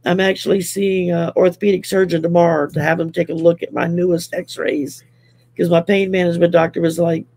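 A woman's voice: fairly low pitch, flat delivery, brisk, creaky, a little mellow, no room sound. A woman in her sixties from Louisiana chatting casually on a video call from home, rambling with lots of fillers. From Louisiana, USA. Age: 60s. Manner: rambling, many fillers, laughs often. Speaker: guest. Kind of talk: casual chat. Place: home room.

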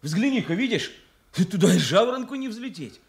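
A loyal young man in disguise in a radio tragedy. His voice swings widely in pitch, coaxing and tender with an anxious, theatrical edge. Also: very animated, normal speed, gravelly, full character voice. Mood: feigned amazement. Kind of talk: classical stage tragedy for radio.

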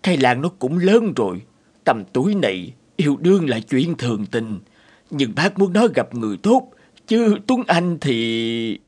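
An actor playing a worried, hot-tempered middle-aged father in an animated drama, speaking emotionally. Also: mid-range voice, a little slow, a little hiss or hum. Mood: troubled.

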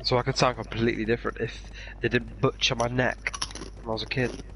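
Young man, gamer, crude joking chat, mid pitch, faint noise. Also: little pitch movement, brisk. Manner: crude banter. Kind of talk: casual chat over voice chat.

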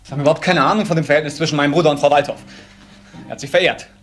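Guy playing defiant protective brother in a radio crime drama, talks fast, lighter higher voice, argues back. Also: fairly even intonation, fairly bright tone, faint music behind, natural voice. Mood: defiant, indignant. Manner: argumentative, indignant, answering for others. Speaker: actor in a crime radio play.

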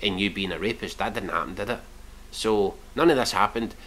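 A man's voice: lowish voice, brisk, a bit bright. A man, commenting on posts he reads out in a scornful, mocking way with plenty of swearing, recorded indoors in a small room on a webcam. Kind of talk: reaction commentary reading posts.

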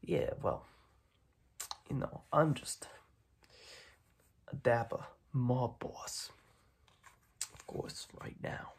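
Mob boss for ASMR roleplay, played by a young man: husky, unhurried, streetwise, dismissive, soft-spoken tough talk. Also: medium pitch, heavily acted. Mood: sizing up. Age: young adult. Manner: slow, clipped tough-guy talk with repeated phrases. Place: small dim room at home, indoors.

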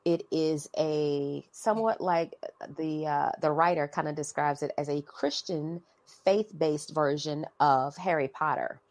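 A calm woman with a very low voice answers interview questions in an unhurried way. The recording is dry and close to the mic. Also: dry recording. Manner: calm, measured, soft-spoken. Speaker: guest. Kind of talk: casual interview talk.

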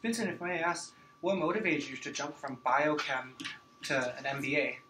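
Man, higher voice, polite organizer, distant in classroom, faint hiss. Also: moderate pace, sharp tone. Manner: polite, brief interruptions. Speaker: event organizer.

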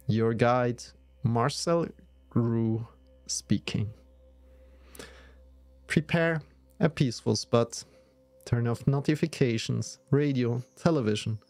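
A man leading a guided yoga nidra meditation in a soothing, measured way with long pauses. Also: mid-range voice, vocal fry, darkish tone, no echo.

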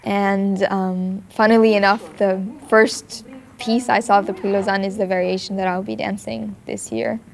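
A teenage girl from Switzerland talks earnestly about dancing, speaking in a narrow, even pitch. She pauses often with small fillers as she thinks. She sits for an interview in a bright ballet studio. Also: gravelly. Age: teens. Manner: thoughtful, earnest, with frequent ums. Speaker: ballet student. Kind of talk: documentary interview.

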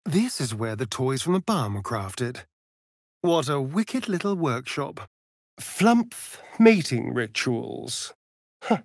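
Sardonic vampire rogue, arch and theatrical, slow drawl, wildly swooping pitch, video game character, dry close sound. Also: male voice, very animated, creaky, no room sound, exaggerated voice. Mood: wry, disdainful.

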